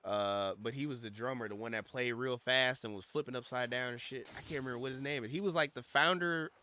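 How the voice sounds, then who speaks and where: A young man streaming a game, rambling and swearing as he tells stories in casual chat, his voice a little hoarse from losing it. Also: medium pitch, a little fast, slight background noise. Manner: rambling, profane, animated storytelling. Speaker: gaming streamer. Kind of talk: casual livestream chat while gaming.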